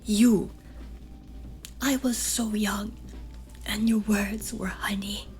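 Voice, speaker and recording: A woman's voice: medium pitch, fairly even intonation, slow, darkish tone, faint music behind, natural voice. A woman voicing a remorseful yet defiant shamaness, speaking in an emotional half-whisper for a horror audio roleplay. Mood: hurt, accusing.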